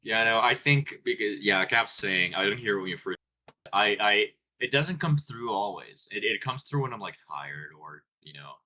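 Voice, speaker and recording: Colombian-accented man, deep voice with a bright edge, relaxed and rambly. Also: moderate pace. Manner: relaxed, rambling, self-interrupting. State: tired and chewing gum. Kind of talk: casual chat.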